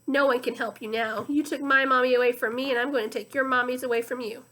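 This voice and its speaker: A woman's voice: high voice, fairly even intonation, fast, a bit bright. A woman voicing a cruel, mocking male villain teacher in a children's storybook reading. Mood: sneering.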